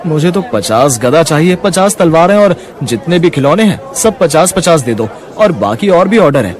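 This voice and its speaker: A man's voice: fairly high pitch, big pitch swings, moderate pace, a faint crowd, pitched above the performer's own voice. A man doing a confident kind young buyer. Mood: friendly.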